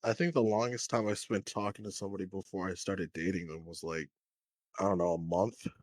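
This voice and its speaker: Young guy on a podcast, lowish voice, chill and teasing, dry close sound. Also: fairly even intonation, brisk, darkish tone, no room sound. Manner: laid-back, teasing, swears freely. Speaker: podcast co-host. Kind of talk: casual chat.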